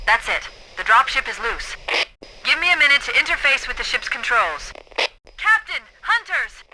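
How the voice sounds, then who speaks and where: Crisp, commanding military AI. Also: female voice, fairly high pitch, pushed and bright, a little hiss or hum, low-quality recording. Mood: brisk, urgent, then urgent. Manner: precise, clipped military phrasing, commanding. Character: female military AI, crisp, brisk, analytical, confident companion. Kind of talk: video game voice acting, tactical briefing.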